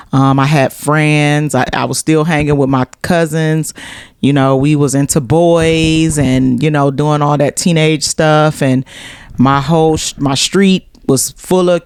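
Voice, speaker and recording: Middle-aged American woman, interview guest, smooth resonant voice, casual storytelling, dry recording. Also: low-pitched, no room sound. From Cleveland, USA.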